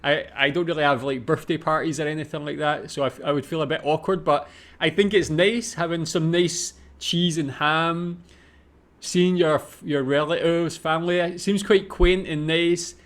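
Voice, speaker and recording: A man's voice: fairly high pitch, steady tone, moderate pace, a bit bright. A man with a Scottish accent giving casual, musing off-the-cuff commentary as host of a reaction video. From Scotland.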